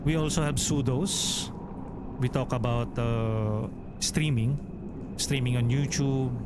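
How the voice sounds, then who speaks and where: A man's voice: medium pitch, fairly even intonation, takes it slowly, heavy background noise. A man from the Philippines with a Filipino accent, streaming a role-playing game and chatting with his viewers as he reads out menus. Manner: thinks aloud while reading menus, chatty with viewers. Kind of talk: live let's play commentary.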